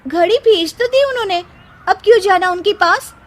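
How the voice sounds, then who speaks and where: A woman's voice: very high-pitched, moderate pace, pitched above the performer's own voice. A woman voicing a scheming, lazy young wife who wheedles and complains in a children's story. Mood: insistent. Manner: wheedling, whiny, demanding.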